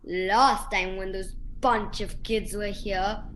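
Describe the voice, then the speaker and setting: A woman acting a menacing aristocratic Count in an amateur radio play, speaking deliberately. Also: medium pitch, steady tone, a bit bright, slight background noise, poor audio. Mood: indulgent.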